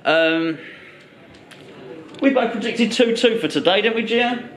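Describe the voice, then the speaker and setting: A man with a fairly high voice chats casually to his camera as a match day vlogger. He rambles and repeats himself. There is clear background noise around him on the handheld recording. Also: animated, unhurried, a bit bright. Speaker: vlog host at a football match day. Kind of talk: casual vlog chat. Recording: noisy handheld camera recording, busy ambience. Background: clear background noise of venue and match.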